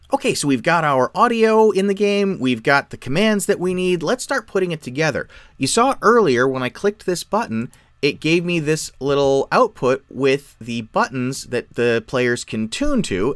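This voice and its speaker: A man explains software step by step in a tutorial style. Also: mid-range voice, dry recording. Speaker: tutorial presenter. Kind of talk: software tutorial, explanatory screen-share narration.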